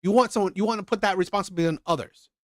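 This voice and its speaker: A man's voice: high voice, racing, no room sound, clean studio sound. A man hosting a commentary channel, giving an opinionated monologue and repeating his points for effect. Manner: emphatic, repeats phrases for effect.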